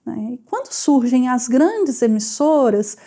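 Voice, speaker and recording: A woman's voice: high voice, big pitch swings, unhurried, mellow. A Brazilian woman explaining history in a conversational interview.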